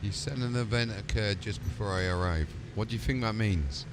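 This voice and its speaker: A man role-playing as a ship's commander in conversation with game voices. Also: brisk. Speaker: game player in character as ship commander. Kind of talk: role-played dialogue with game voice pack. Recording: clear ambient rumble behind.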